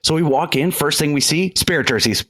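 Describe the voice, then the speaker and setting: A man co-hosting a casual podcast, telling travel stories in an animated way with lots of restarts and laughter. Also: fairly high pitch, fairly quick. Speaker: podcast co-host.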